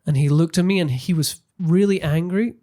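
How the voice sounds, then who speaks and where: A man's voice: on the high side, moderate pace, mellow. A British man in his thirties chatting earnestly as a podcast guest, illustrating his points with examples. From Britain. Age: 30s. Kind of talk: casual conversational interview. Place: small podcast studio. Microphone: close studio microphone on stand.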